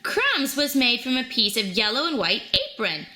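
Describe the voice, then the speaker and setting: A woman reading a children's storybook aloud in an animated, expressive storytelling manner. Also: a little high, moderate pace. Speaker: storybook reader.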